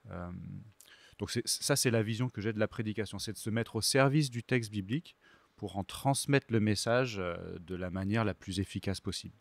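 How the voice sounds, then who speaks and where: A middle-aged man from France, a pastor, explaining his views as a podcast guest, thoughtful and halting with many fillers. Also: lowish voice, dry recording.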